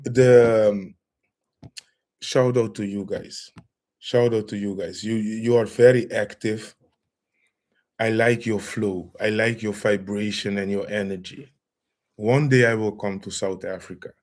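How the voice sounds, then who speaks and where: Male livestream host, unhurried delivery, mid-pitched, chatty lecture tone with shout-outs. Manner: slow, greets viewers by name. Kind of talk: live-stream lecture chat.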